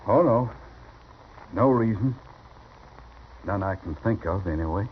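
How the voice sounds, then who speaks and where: An actor playing a quiet, polite, easygoing gunman in a 1950s radio Western, soft-talking, laconic and courteous. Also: fairly low pitch, expressive, unhurried. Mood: guarded. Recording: dull, noisy vintage radio recording.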